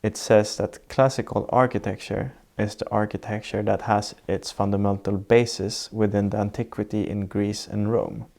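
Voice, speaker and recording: Gravelly low-voiced Swedish man explaining. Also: little pitch movement, a little mellow. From Sweden. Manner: measured, reflective, lays out definitions step by step. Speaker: architect guest. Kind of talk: explanatory interview answers. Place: sitting indoors in Norway.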